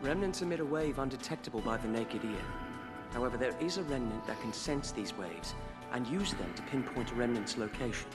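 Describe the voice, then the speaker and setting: An actor playing a composed, courteous young noble lord who commands in a video game, speaking in a formal, measured way. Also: male voice, mid-range voice, expressive, over loud music, natural voice. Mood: calm, commanding. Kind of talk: video game cutscene acting.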